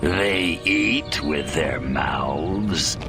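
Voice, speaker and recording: Sneering alien soldier, slow and deadpan, over a music bed. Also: male voice, a bass voice, heavily acted. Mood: sarcastic. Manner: sarcastic, deadpan. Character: gruff alien invader, sarcastic and disgusted by humans.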